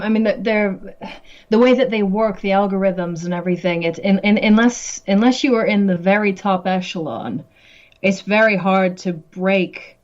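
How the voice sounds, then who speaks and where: Chatty woman guest laughing a lot, kinda muffled lo-fi sound. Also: medium pitch. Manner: chatty, laughs often, many restarts. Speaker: guest, musician and actress. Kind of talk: casual interview chat.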